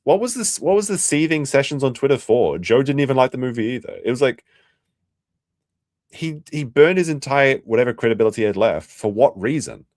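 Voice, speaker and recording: A man's voice: mid-range voice, animated, brisk, darkish tone, no room sound, clean studio sound. A young man with a New Zealand accent, chatting crudely and rambling as a livestream host reacting for his chat. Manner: rambling, crude, restarts often, talks to chat. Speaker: streamer host.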